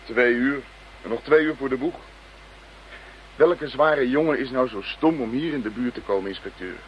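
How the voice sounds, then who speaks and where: A man's voice: mid-range voice, normal speed, natural voice. An actor playing a bored, cold policeman on guard duty, grumbling through a radio play. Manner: grumbling, complaining. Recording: muffled radio play, faint noise.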